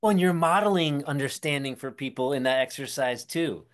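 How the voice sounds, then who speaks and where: A man's voice: mid-range voice, brisk. A man co-hosting a podcast in casual chat, supportive and affirming. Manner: supportive, with frequent affirming interjections. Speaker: podcast co-host.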